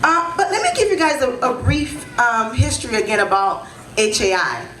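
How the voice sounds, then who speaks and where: A woman's voice: on the high side, very animated, normal speed, a bit bright, a little hiss or hum. Haitian-born woman hosting a heritage event. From Haiti, raised in Florida. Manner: conversational, often adds "right?" to draw in the crowd. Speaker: event host and senior advisor. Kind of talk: speech at a community event. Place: event hall. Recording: echoey hall.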